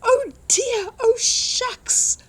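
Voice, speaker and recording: A woman's voice: very high voice, extremely slow, fairly bright tone, pitched above the performer's own voice, full character voice. A woman voicing a brave little daddy mole in a storybook, alarmed but defiant, speaking in emphatic exclamations. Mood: dismayed.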